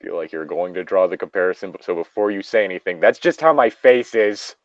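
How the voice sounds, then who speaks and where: A man's voice: sits low, brisk, no echo, highs cut off. A man reading a story aloud casually, breaking off into loose, profane banter.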